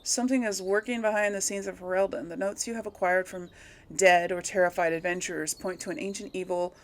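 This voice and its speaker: A woman's voice: lowish voice, steady tone, a little fast. A woman giving casual gameplay commentary, rambling and thinking aloud as she goes. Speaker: let's play host.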